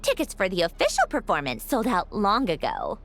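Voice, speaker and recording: A woman playing a composed, analytical young woman in a video game, frank and measured. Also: mid-range voice, huge pitch swings, fairly bright tone. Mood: reflective, appreciative. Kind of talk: video game dialogue acting. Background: game music.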